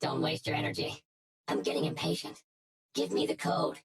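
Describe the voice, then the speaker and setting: A woman voicing a menacing, gloating hacker in a video game, with a taunting, commanding manner and a raspy voice. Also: low voice, level tone, moderate pace. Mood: menacing, impatient. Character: menacing, gloating hacker who seized the system. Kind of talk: dramatic video game acting.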